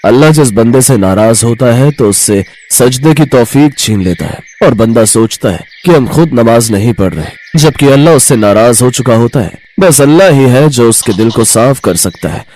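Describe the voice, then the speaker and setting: A man's voice: medium pitch, animated, moderate pace, hardly any pauses, darkish tone, natural voice. A man playing a poor, middle-aged tailor father in a children's story, earnest and solemn in his devotion. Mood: sorrowful. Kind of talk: children's animated moral story acting.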